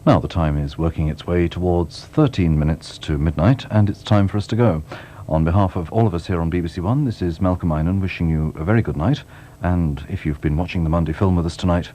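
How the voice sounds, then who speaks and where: A British man working as a television continuity announcer, reading scripted programme and weather announcements in a measured, courteous, gently wry way. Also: sits low, a little hiss or hum. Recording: 1980s television broadcast off videotape.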